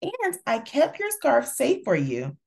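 A woman's voice: sits high, wildly swooping pitch, takes it slowly, creaky, a little mellow, exaggerated voice. A woman voicing a small, earnest schoolboy in a children's picture book, exclaiming in an emphatic, childlike way. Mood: proud, excited.

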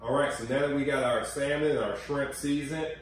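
A man's voice: mid-range voice, fairly even intonation, fairly quick, a little hiss or hum. A man in his forties hosting a casual cooking demonstration in a home kitchen, chatty and coaching as he gives health tips. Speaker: cooking show host. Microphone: far camera mic. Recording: echoey kitchen from far off.

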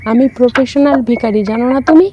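Bold, fast-talking woman, outdoor noise. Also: on the high side, muffled recording. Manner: bold, teasing. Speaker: prank caller. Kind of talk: flirtatious prank phone call. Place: open field outdoors. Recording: noisy outdoor recording.

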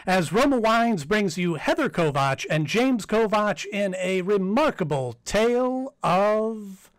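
A man's voice: high voice, expressive, takes it slowly, no echo. A man announcing a sponsored program in 1940s radio style. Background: music.

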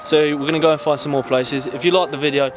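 A young man, vlogging casually as he explores an abandoned building, excitable and swearing freely. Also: fairly high pitch, fast. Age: young adult. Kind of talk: casual vlog narration while exploring. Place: abandoned building, indoors and out at night. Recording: music playing loudly behind.

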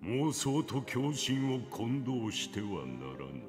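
A man's voice: medium pitch, very animated, normal speed, close to an everyday voice. Man acting a grave, stern skeletal reaper assassin. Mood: solemn. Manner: grave, archaic, commanding pronouncements. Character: ancient, solemn, stern skull-faced reaper assassin. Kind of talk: scripted video game character lines. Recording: game audio over loud music.

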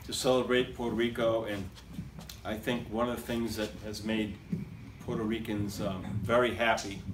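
A man's voice: fairly low pitch, little pitch movement, normal speed. A middle-aged man hosting a casual show with a handheld mic, upbeat and joking, like an announcer. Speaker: host. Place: small room with brick walls and blinds, indoors. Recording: echoey room, noisy, from a far camera.

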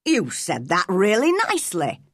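Woman playing a gruff, blunt old gardener man. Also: mid-range voice, huge pitch swings, extremely slow, fairly bright tone, no echo, studio quality, full character voice. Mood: amused. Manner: blunt, curt.

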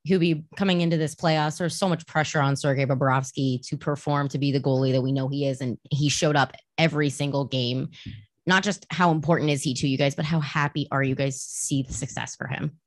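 A woman asks a brisk, prepared question in a low voice. The sound is dry and close, with no echo around her. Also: fairly even intonation. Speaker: reporter. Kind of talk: press conference question.

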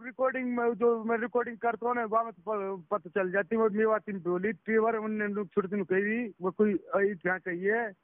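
A man's voice: high voice, dry recording. A man on a phone call, recounting an incident in a repetitive, agitated, casual way. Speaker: phone caller, a driver recounting being scammed. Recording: tinny phone call recording.

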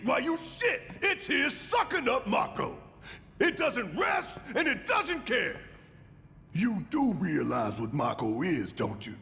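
An actor playing a big, hot-tempered, suspicious resistance fighter in a video game, with a gruff, gravelly American voice and a confrontational, slangy delivery. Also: male voice, high voice, fairly bright tone, big-room sound, heavily acted. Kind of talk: dramatic video game voice acting.

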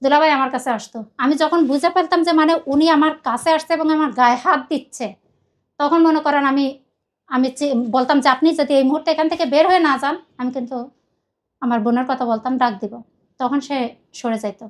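A woman with a Bangladeshi accent gives a personal account in a very high voice. She talks quickly and emphatically, rambling and circling back over her own words as a guest telling her story. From Khulna, Bangladesh. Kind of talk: personal confessional account in conversation.

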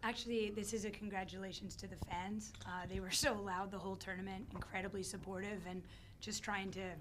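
A middle-aged woman head coach with a hoarse, worn-out voice, answering questions calmly at a postgame press conference on a desk mic. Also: medium pitch, brisk, background noise. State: hoarse, losing her voice. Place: press conference room, seated at a table. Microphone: desk microphone on a stand.

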